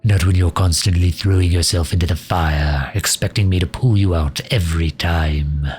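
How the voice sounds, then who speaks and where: A man playing a sardonic, loyal fantasy mage advisor in an intimate ASMR roleplay, dry and grumbling, with a husky, breathy delivery. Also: deep voice, moderate pace. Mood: weary, reluctant. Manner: dry, grumbling, sharp-tongued, with hesitant breaks.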